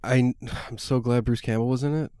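A man's voice: medium pitch, steady tone, a little fast, mellow, clear, resonant tone, no room sound, clean studio sound. A young man chatting casually about a film, rambling with lots of fillers and swearing freely. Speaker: podcast co-host reviewing a film. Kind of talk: casual chat.

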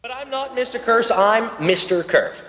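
A man's voice: very high voice, normal speed, bright, forceful tone. A man playing a pedantic, flustered vandal on trial in a comic radio play, protesting insistently. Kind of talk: comic radio play at a rehearsal table read. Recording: echoey rehearsal room, faint noise.

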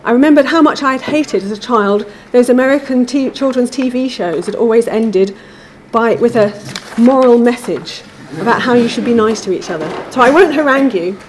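Lady giving a lecture, kinda high voice, reading carefully, bit of hiss behind. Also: low-quality recording. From England. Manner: measured, reads prepared text. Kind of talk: formal academic lecture.

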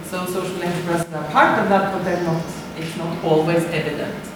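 A woman with a Norwegian accent presents to a conference audience, hesitating often with fillers and restarts. She sounds picked up from across a very reverberant lecture room. Steady background noise sits under her voice. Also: fairly low pitch, fairly quick. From Norway. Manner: hesitant, many fillers and restarts, light laughs. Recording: echoey room, distant mic, background noise.

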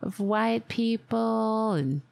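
A woman's voice: a little high, drawn-out words, creaky, darkish tone, dry recording, heavily acted. A woman putting on a brash older Staten Island widow with a thick local accent, in a satirical, mocking monologue. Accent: Staten Island, New York. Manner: sarcastic, exaggerated, mocking persona.

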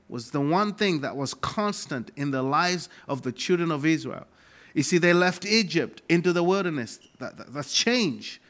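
Man, Malawian accent, slightly high voice, pastor preaching, emphatic, deliberate repetition. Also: fairly bright tone. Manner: emphatic, repeats key phrases deliberately. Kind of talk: sermon.

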